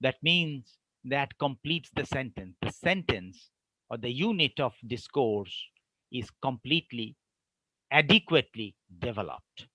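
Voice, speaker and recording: A man giving an online academic lecture, explaining with deliberate pauses between phrases. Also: mid-range voice, expressive, bright, forceful tone, dry recording, poor audio.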